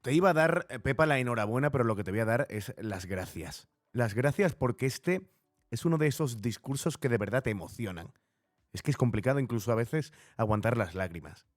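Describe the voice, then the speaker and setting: Man, Castilian Spanish accent, medium pitch, indignant political commentator, informal, emphatic repetition, dry recording. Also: expressive, fairly quick, no room sound. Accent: Castilian Spanish from Spain. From Spain.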